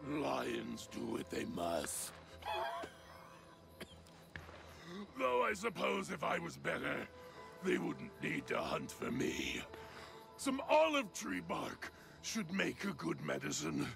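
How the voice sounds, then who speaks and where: An actor playing a sick, eccentric wild man who lives among lions, with a gravelly, halting voice, in a video game. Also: fairly high pitch, huge pitch swings, over music, full character voice. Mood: musing.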